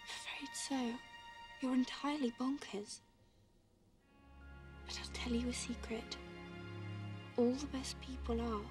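A young actress playing an earnest, gentle heroine in a fantasy film. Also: female voice, a little high, steady tone, takes it slowly, long pauses, music in the background. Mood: tender. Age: young adult.

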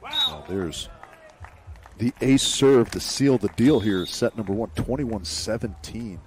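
Low-voiced male commentator over court noise. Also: slow. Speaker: beach volleyball commentator. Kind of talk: live sports commentary.

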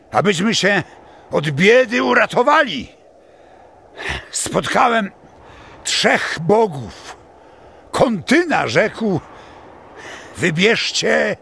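An actor playing a solemn old pagan seer in an audio drama, creaky and husky, declaiming haltingly. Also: male voice, sits high, a bit bright, exaggerated voice.

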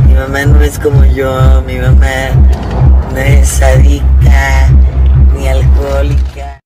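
A woman speaks slowly and bluntly, giving personal testimony with casual swearing. Loud music plays over her voice. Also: rumbling low, completely flat delivery. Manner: blunt, foul-mouthed.

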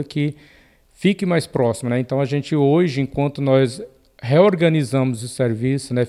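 A Brazilian man, a city health official, giving a measured bureaucratic statement, dropping frequent tag questions. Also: mid-range voice, warm tone. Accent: Brazilian Portuguese. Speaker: municipal health secretary.

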